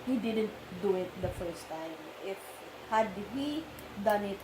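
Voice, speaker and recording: A woman's voice: medium pitch, lively intonation, takes it slowly, echoey room, background noise. A woman with a Filipino accent chatting casually about a film, often finishing her partner's sentences. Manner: brief agreeing interjections, finishes his sentences. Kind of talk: casual film discussion chat.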